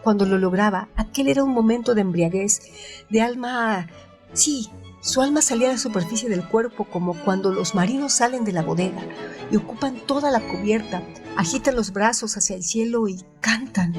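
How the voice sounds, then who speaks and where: A woman giving a calm, even-paced scripted reading of a literary passage as a radio-theatre narrator. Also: mid-range voice, normal speed, over music.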